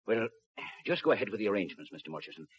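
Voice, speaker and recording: An actor playing a businesslike, authoritative police inspector with clipped official delivery in 1950s radio drama. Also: male voice, on the low side, big pitch swings, rapid-fire, husky, full character voice. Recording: dull, muffled 1950s radio recording.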